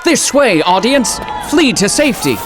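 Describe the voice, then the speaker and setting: An actor playing an earnest, lovelorn poet hero in a comic drama, florid and melodramatic. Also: male voice, extremely high pitch, big pitch swings, moderate pace, a crowd in the background, noisy background. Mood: urgent, indignant.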